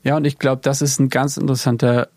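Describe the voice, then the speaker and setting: A man's voice: mid-range voice, flat delivery, quick pace, darkish tone, dry recording, clean studio sound. A man from Germany talking reflectively in a casual podcast conversation, thinking aloud with many fillers. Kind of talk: casual conversation about worship music.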